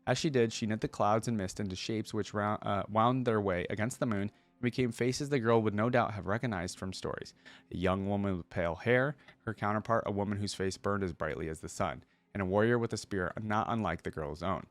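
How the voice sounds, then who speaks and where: A man's voice: lowish voice, a little fast, dry recording. A man hosting a reaction video, reading a story aloud and breaking off to muse and explain in a casual way.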